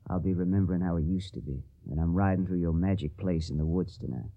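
An actor playing a confident, affectionate young Confederate volunteer in a radio drama, speaking in an earnest, assured manner. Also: male voice, deep voice, fast talker, creaky, mellow. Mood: fond, teasing. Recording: dull, muffled radio recording.

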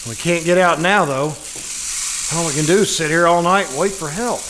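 A man's voice: on the high side, moderate pace, natural voice. A man playing a nervous, protective husband in an old-time radio suspense drama, urgent and dramatic. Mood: tense, low. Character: nervous husband trying to protect his wife. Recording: live performance, noisy sizzling storm background.

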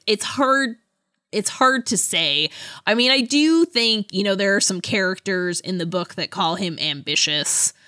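Woman podcaster, unhurried and kinda hesitant, bright clear-edged voice, really dry close sound. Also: fairly low pitch, dry recording. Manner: hesitant, thoughtful, hedging delivery. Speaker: podcast co-host. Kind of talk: casual book discussion.

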